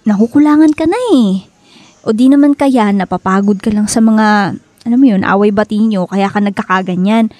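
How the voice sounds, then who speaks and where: A woman acting a teasing, frank young best friend in a radio drama, playful and expressive, with a Filipino accent. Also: medium pitch, moderate pace, no room sound, natural voice. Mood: concerned, prodding.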